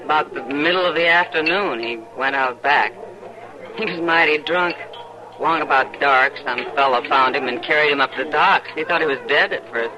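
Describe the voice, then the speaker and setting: A woman's voice: low voice, normal speed, a crowd in the background, lightly acted, poor audio. An actress playing a worldly, familiar saloon woman in an old-time radio western. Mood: curious, casual.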